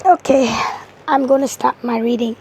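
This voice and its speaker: A woman with a hoarse voice, giving a rambling, halting monologue as a tarot reader. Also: sits high, background noise. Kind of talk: casual tarot video monologue.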